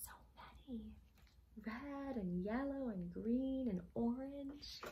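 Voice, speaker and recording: A young adult woman reading a picture book aloud to children as a storytime host, in an expressive manner that speaks directly to young listeners. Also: high voice, slow, muffled recording, background noise. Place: small room at home, indoors.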